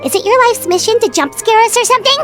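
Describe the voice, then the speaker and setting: A woman voicing a small, childlike fairy companion in a video game, chirpy, excitable and expressive. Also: extremely high pitch, quick pace, music in the background. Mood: cheeky, indignant.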